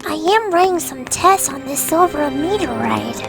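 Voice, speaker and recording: A woman's voice: high voice, animated, measured pace, creaky, over music, heavily acted. A woman voicing a young, brainy, earnest boy fox inventor in a cartoon audio story. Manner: earnest, technical explaining.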